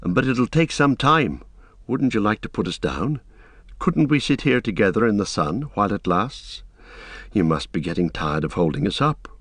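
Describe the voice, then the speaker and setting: Casting: courteous young hobbit, read by a man, low and unhurried, close dry sound. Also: expressive, a bit bright, breathy edge, no echo. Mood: polite. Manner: polite, earnest. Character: small young male hobbit, earnest and polite.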